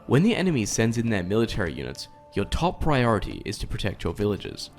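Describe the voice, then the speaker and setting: Low-voiced man with lively intonation. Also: quick pace, faint music behind. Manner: explanatory, precise, directive. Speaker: game tutorial narrator. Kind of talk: scripted instructional narration.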